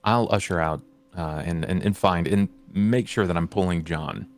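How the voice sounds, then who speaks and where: A man playing a tabletop game, casually roleplaying in a terse, deadpan manner. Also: sits low, normal speed.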